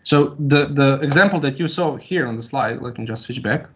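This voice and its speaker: A man answering academic questions as a doctoral candidate, explaining haltingly with long hesitations. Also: mid-range voice. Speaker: PhD candidate defending a thesis. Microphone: video call. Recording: muffled online video call audio.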